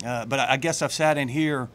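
A middle-aged man, a head football coach, answering questions at a postgame press conference in plain, halting speech full of fillers and restarts, into a table mic. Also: mid-range voice, steady tone, a bit bright. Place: press room with branded backdrop. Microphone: table mic on a stand.

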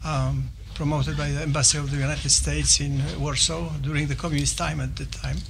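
An older man from Poland with a Polish accent, giving a formal presentation and often pausing with fillers and restarts. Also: on the high side, level tone, gravelly, background noise. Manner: halting, with many fillers and restarts. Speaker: visiting scholar presenting. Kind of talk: formal presentation to a small audience. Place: office meeting room.

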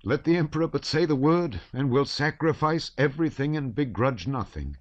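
A man voicing an excitable, patriotic older nobleman and father who blusters loudly. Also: mid-range voice, a little slow, no room sound, lo-fi sound, voice pushed up. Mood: excited blustering.

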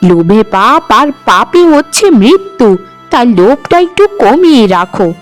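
A woman voicing a stern, shrewd old mother-in-law in a cartoon, scolding and unmoved by flattery. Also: on the high side, moderate pace, soft background music. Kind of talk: cartoon voice acting.

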